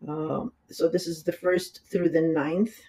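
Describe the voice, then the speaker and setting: Raspy, hoarse astrology reader with a cold. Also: medium pitch, steady tone, unhurried, mellow. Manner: rambling, talks loosely with asides. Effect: audio sped up in editing. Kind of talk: casual horoscope reading.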